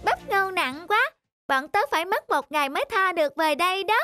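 A woman's voice: extremely high pitch, expressive, brisk, sharp tone, soft background music, exaggerated voice. A woman voicing a small, hardworking, polite ant in a children's cartoon. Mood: tired. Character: small hardworking ant, tired but grateful.

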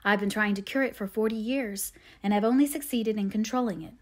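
A woman's voice: medium pitch, a little fast, a little mellow, natural voice. A woman voicing a patient, devout middle-aged mother in a storybook, soothing and tender. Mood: gentle counsel.

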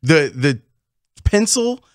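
A man's voice: on the high side, very animated, slow pace, dry recording. Middle-aged man, sports radio host, curious interviewer, dry studio sound. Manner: curious, leading questions. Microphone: studio mic with headphones.

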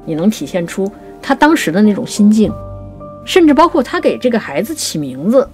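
A woman co-hosting a poetry programme, explaining classical literature conversationally and slowing down to recite quotations. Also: medium pitch, sing-song, soft background music. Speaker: writer and co-host of a poetry programme.

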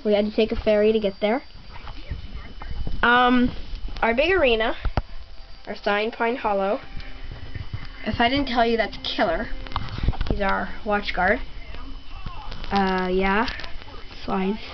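A young girl giving a rambling tour of her toy horse barn at home, with many ums and pauses. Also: medium pitch, a little hiss or hum. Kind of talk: casual home video narration. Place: bedroom at home. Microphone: handheld camera mic. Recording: slightly dull lo-fi home camera audio.

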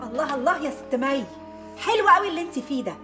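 A woman's voice: high-pitched, animated, quick pace, sharp tone, soft background music. A woman acting an exasperated, sarcastic mother and wife in a TV comedy-drama, with an Egyptian accent and emphatic, repetitive phrasing. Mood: scolding.

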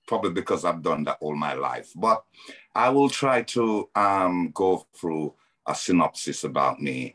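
A man's voice: low voice, a bit bright. A middle-aged man with a Jamaican accent, telling his story as a guest on a video call, hesitant with many ums and restarts.

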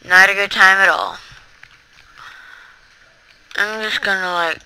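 A rambling Let's Play commentator who chats to viewers while playing. The voice is very bright and sharp, with a gravelly edge. The delivery is slow and unhurried, broken by excitable outbursts. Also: mid-range voice, rough recording. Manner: rambling, chatty, talks to viewers. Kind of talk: casual gameplay commentary.

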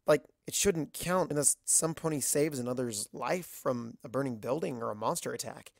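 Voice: mid-range voice, normal speed, vocal fry, dry recording, studio quality. A narrator reading a scripted first-person story aloud.

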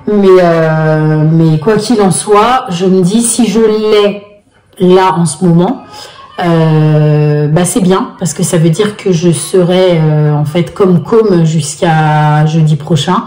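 A husky-voiced woman in her forties talks to her phone held at arm's length at home, with a slight cold. Her voice sits a little low and she chats on with many hesitations and easy laughs, in a room that gives a clear echo. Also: slow pace, dark tone. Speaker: vlogger, single mother. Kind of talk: casual home vlog to camera. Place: small rooms of a home, indoors. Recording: echoey room.

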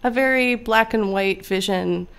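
An American woman speaking as an academic guest in an interview, explaining in a measured, conversational way and often checking with "right?". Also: medium pitch, vocal fry, a bit bright, clear, resonant tone. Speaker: academic guest, lecturer on immigration history. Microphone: podcast microphone on a stand.

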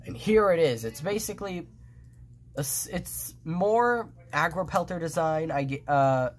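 A man's voice: fairly high pitch, expressive, unhurried, slight background noise. A man with a stuffed, nasal nose casually talks through his drawings at a table, rambling with many restarts and fillers. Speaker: fan artist presenting his drawings. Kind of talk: casual show-and-tell commentary.

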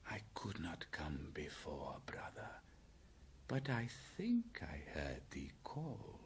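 Slow, hissing old python. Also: male voice, very deep voice, huge pitch swings, voice pushed down, full character voice. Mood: commanding, calm. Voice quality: hissing, whispery. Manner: slow, drawn-out, archaic speech. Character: great old male python, slow, commanding, menacing.

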